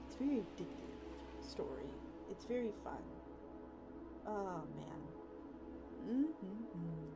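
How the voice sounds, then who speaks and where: A woman's voice: mid-range voice, poor audio. A woman hosting a live stream, chatting casually with her viewers. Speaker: VTuber streamer, host. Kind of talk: live stream reading a story aloud, casual chat with viewers.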